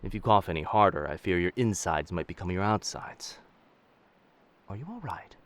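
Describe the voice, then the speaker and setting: A man playing a dangerous but gentle pirate captain in an intimate roleplay audio drama, protective and commanding, with old-fashioned seafaring speech. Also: low voice, lively intonation, moderate pace. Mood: concerned.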